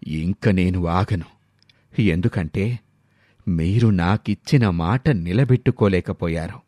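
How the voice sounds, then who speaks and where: A stern old patriarch of a moral storybook tale, acted by a male narrator, pitched very low. He speaks slowly and weightily, like someone used to scolding and doubting his family. The recording is close and dry. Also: warm tone, no room sound. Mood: stern, angry. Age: older. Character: stern old grandfather, wealthy, wounded and distrustful.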